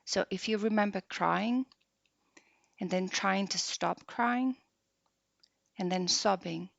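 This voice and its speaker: A woman guiding a meditation practice softly and unhurriedly, leaving long pauses between short phrases. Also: medium pitch, vocal fry, no room sound. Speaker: yoga nidra meditation guide. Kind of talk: slow guided meditation. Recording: dull lo-fi close dry recording.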